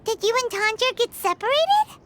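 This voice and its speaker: A woman voicing a tiny, chirpy, childlike fairy companion in an animated video game style, given to exclaiming. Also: extremely high pitch, normal speed, slight background noise, exaggerated voice. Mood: surprised. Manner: refers to self in third person, exclaiming.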